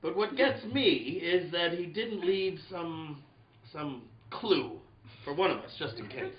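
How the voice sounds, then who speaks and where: Male, playing earnest puzzled sleuth in old radio serial, highly animated, higher-pitched, questioning delivery. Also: slow pace. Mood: earnest, concerned. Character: earnest, puzzled investigating man, superhero's alter ego. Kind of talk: hammy old-time radio play acting. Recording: roomy, muffled, noisy live recording.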